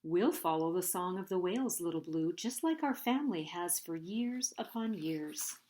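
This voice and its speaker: A woman voicing a gentle father whale in a storybook, patient and reassuring as he explains. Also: on the low side, lively intonation, normal speed, lightly acted.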